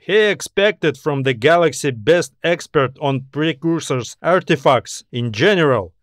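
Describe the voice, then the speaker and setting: Higher-pitched male audiobook narrator, dry sound. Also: dry recording. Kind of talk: scripted story narration.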